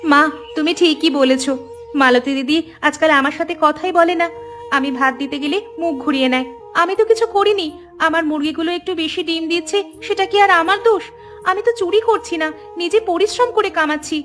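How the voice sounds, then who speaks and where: A woman's voice: very high-pitched, brisk, a bit bright, soft background music. A woman voicing an outspoken, hardworking young village woman in a cartoon, earnest and emphatic. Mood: hurt, earnest. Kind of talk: cartoon dialogue.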